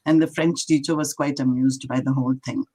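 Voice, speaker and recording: An older woman from India with an Indian accent, a native Marathi and English speaker, telling stories as an interviewed poet in a thoughtful, halting, lighthearted way. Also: rumbling low, fairly even intonation, mellow, breathy edge. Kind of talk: reflective conversational storytelling.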